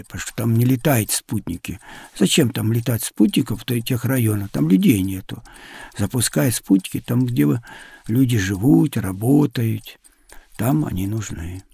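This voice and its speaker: A man, likely middle-aged, with a husky, gravelly voice tells stories conversationally to children in simple, loosely phrased explanations. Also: mid-range voice, mellow, no room sound. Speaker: sailor and traveller guest.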